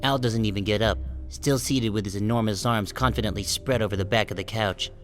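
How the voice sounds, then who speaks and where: A man's voice: lowish voice, steady tone, fairly quick, fairly bright tone, soft background music, close to an everyday voice. A man playing a wary, resentful sheep who narrates his own story in a wry, guarded audiobook style. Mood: wry, tense. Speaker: first-person narrator and protagonist. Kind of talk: scripted first-person audiobook narration.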